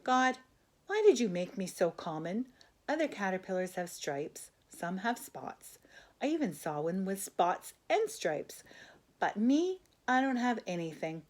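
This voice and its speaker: A small, ordinary storybook caterpillar played by a woman reading aloud, earnest and full of longing. He speaks plaintively, with big swings in pitch as he pleads and wonders. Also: sing-song, a little slow, darkish tone. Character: wistful, ordinary little male caterpillar in a storybook.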